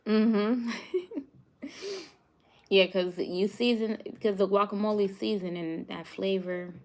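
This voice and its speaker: A woman's voice: mid-range voice, fairly even intonation, slow pace, darkish tone. A woman from New York hosting a live cooking stream, chatting casually with viewers and laughing often. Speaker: cooking show host.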